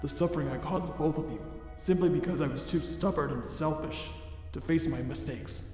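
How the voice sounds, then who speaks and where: A man's voice: a little high, a little mellow, natural voice. Man voicing a grave remorseful monster, cavernous reverb. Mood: regretful. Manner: formal, deliberate, grave wording. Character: remorseful, self-loathing adult male scientist monster, father-like. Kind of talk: dramatic fan comic dub. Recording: very echoey long reverb under music.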